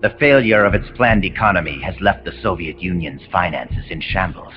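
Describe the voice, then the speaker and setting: An actor playing a cold, commanding rogue officer in a video game, speaking in measured, imperious monologues. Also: male voice, deep voice, expressive, background noise. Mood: calm, lecturing. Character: cold, commanding male rogue officer, grandiose engineered super-soldier. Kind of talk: dramatic video game voice acting. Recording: dull compressed game audio under loud music.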